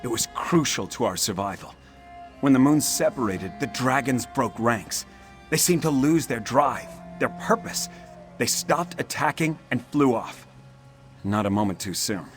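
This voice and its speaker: Man playing a gruff dutiful officer, lowish pitch, brisk businesslike delivery, fantasy game, faint music underneath. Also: natural voice.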